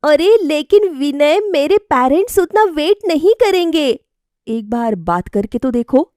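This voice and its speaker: A spirited, headstrong young college woman from a cartoon tale, voiced by a female storyteller. She speaks very high and expressively, with an insistent edge. The recording is dry. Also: expressive, measured pace, darkish tone, dry recording. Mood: urging. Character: lively, carefree, stubborn young college woman.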